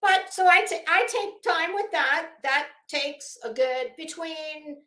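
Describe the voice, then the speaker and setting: A woman's voice: very high voice, slow, sharp tone, roomy sound. A woman delivering an unscripted, opinionated commentary rant as an online host, sarcastic and taunting, with theatrical emphasis.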